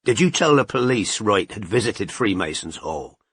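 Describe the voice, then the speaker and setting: A man voicing a gruff, terse male detective with a husky voice, asking short probing questions in an audiobook. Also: on the low side, sing-song, normal speed, fairly bright tone, no room sound, exaggerated voice. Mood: questioning. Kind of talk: audiobook character voice.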